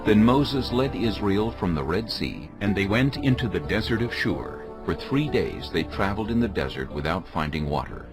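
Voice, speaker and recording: Deep-voiced solemn male scripture narrator. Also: expressive, normal speed, few pauses, music in the background. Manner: solemn, storytelling.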